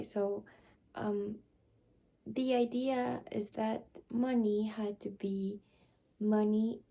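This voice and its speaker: Soft-spoken ASMR woman, low, dark gravelly voice, slips in and out of a whisper. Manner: halting, rambling explanation with many fillers. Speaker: ASMR creator.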